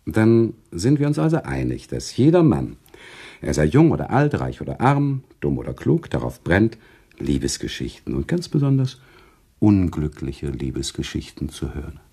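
An actor playing a playful, teasing author-storyteller in a literary radio play, with deliberate, rhetorical, theatrical phrasing. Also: male voice, rumbling low, wildly swooping pitch, gravelly, warm tone, husky, full character voice. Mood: suave, teasing. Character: genteel male author-storyteller, playful, teasing, eager to tell.

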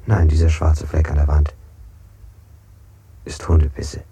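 An actor playing a weary, evasive prisoner under interrogation in an avant-garde radio play, speaking in a halting, questioning way. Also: male voice, very deep voice, monotone, mellow, breathy edge, exaggerated voice. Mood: rambling, searching.

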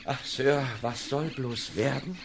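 An actor playing an obliging middle-aged tailor in a German radio play, chatty, eager to please and flattering. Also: male voice, medium pitch, slow pace, vocal fry, background noise. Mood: flattering, deferential.

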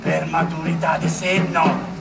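A man's voice: on the high side, level tone, measured pace, creaky. A man playing a fervent anticlerical republican orator in a historical drama, declaiming grandly. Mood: righteous. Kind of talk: theatrical political oratory. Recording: loud music behind the voice. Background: music.

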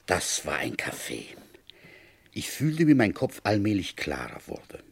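Feverish police commissioner, suspicious, radio play detective, low husky voice, widely swinging intonation. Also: male voice, wildly swooping pitch, a little slow, full character voice. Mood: wry, weary musing. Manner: insistent in questioning, musing when narrating. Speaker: detective and first-person narrator. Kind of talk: radio play dialogue and confiding narration.

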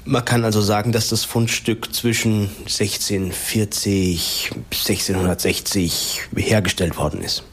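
Low, husky matter-of-fact man. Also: flat delivery, a little slow, hardly any pauses, fairly bright tone. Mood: factual.

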